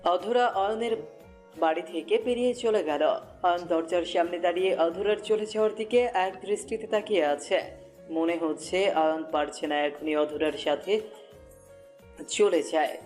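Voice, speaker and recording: Low-pitched woman narrating a romance. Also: moderate pace, a little mellow. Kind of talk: scripted romantic story narration. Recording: music bed behind voice.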